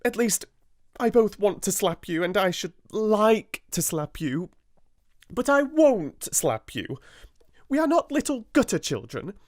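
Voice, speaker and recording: A man reading a storybook, voicing a kind young schoolgirl with a calm, firm, coaxing manner. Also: medium pitch, a little fast, few pauses, no room sound, clean studio sound, pitched above the performer's own voice.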